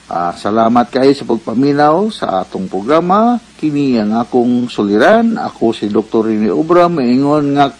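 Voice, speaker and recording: A man's voice: medium pitch, a little mellow, rough recording. A man with a Bisaya Filipino accent hosting a radio advice program, explaining things like a doctor. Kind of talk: advice talk with sign-off.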